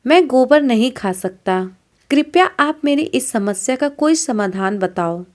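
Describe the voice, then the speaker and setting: A woman's voice: high voice, animated, a little slow, darkish tone. A woman voicing a remorseful village man pleading before God in a moral story.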